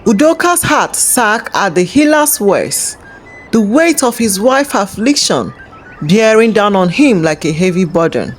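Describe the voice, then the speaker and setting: A woman narrating a scripted folktale as host, in a measured, dramatic storytelling manner. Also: mid-range voice, very animated, faint music behind.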